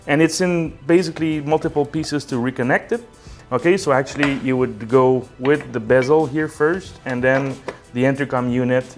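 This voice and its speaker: Chatty man demoing hardware, slightly high voice. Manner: conversational, ends points with a checking "okay?"; fillers "um" and "uh" between phrases. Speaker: technical support director presenting a product. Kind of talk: casual product demonstration.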